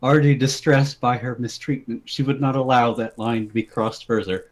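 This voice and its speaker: A man's voice: medium pitch, fairly even intonation, normal speed. A man giving an amused, theatrical dramatic reading of a story to friends. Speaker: reader of a story.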